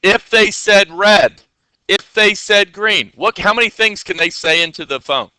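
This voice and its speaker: A man walks viewers through coding in a loose, chatty way, often stopping to check they're following. His voice is very bright and sharp, with a clean, crisp edge. It is fairly high for a man, and the recording is dry and close. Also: measured pace, no room sound. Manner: loose, with many fillers and restarts. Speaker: coding teacher. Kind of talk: casual screen-share tutorial.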